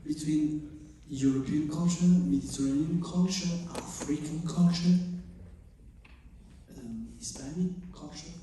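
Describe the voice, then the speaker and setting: A choreographer from Morocco, answering audience questions after a show and searching for English words. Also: male voice, on the high side, a little slow, mellow, distant mic, slight background noise. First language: not English. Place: theater stage. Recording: echoey theater heard from afar.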